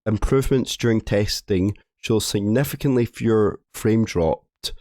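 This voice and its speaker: A man hosting a gaming update video, hoarse from a cold, who rambles, apologises and often restarts his words. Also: on the low side, unhurried, a little mellow, no echo, clean studio sound. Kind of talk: casual commentary reading a game update.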